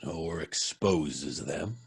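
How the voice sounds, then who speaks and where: Scoffing gent, unhurried drawl, raspy voice, jovial, radio drama, music underneath. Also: male voice, rumbling low, heavily acted. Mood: facetious, mocking. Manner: drawling, facetious, stammering politely. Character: jovial, scoffing gentleman skeptic at a seance. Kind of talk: old-fashioned radio drama acting.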